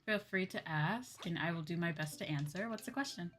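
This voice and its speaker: A woman's voice: on the low side, a little fast, a bit bright, soft background music. A woman livestreamer chatting casually with viewers while gaming, thinking aloud in a rambling way and often trailing off. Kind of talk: casual chat with viewers while streaming a game.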